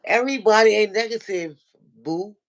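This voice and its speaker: Nasal, gravelly man telling a story. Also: moderate pace. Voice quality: nasal, deep voice. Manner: animated storyteller, acts out quoted dialogue. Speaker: regular viewer calling into a livestream. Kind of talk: casual chat, retelling an online argument.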